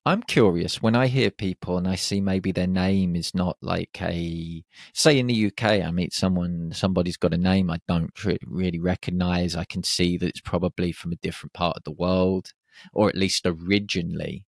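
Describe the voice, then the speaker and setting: A man hosting a casual podcast interview, relaxed, often giving short agreements. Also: low-pitched, normal speed, creaky, no room sound, studio quality. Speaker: podcast host.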